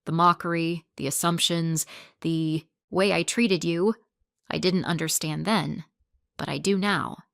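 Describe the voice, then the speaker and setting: A woman reading the lines of a remorseful man, her ex-fiancé, in a quiet, halting manner. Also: on the low side, steady tone, gravelly, dry recording, studio quality.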